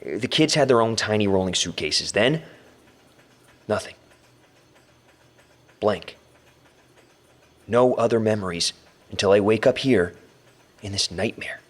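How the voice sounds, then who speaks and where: Young male captive, lowish voice, halting, anxious yet bold, found-recording audio drama, thinking aloud. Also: expressive. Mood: dazed, recalling. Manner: halting, muttering, narrating aloud to himself.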